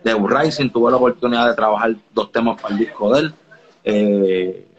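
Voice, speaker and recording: A man's voice: on the low side, moderate pace. Laid-back man on dull audio. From Dominican Republic. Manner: laid-back, keeps asking "you get me?". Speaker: music producer guest. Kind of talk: casual interview chat.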